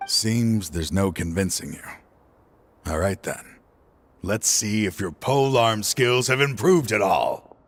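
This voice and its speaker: Tired regretful older villain in a video game, real low and slow, broody, husky. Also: male voice. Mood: pleading, resigned. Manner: slow, brooding, sighing delivery. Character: weary, regretful older male villain, former partner turned selfish. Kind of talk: dramatic video game voice acting.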